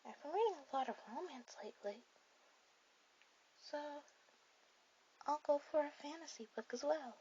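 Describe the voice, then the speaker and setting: A woman voicing a shy, sweet, bookish teenage anime girl in a soft-spoken, hesitant ASMR roleplay. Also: high-pitched, expressive, normal speed, long pauses. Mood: quietly excited. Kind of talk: ASMR romantic roleplay addressed to the listener. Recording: dull lo-fi audio with clear hiss.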